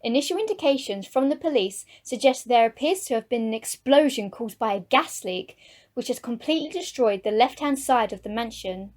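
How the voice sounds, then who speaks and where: Woman playing a high-voiced, lively news reporter. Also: brisk. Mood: composed, earnest. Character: earnest female TV news reporter on the scene. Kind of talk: mock outside-broadcast news report in radio play.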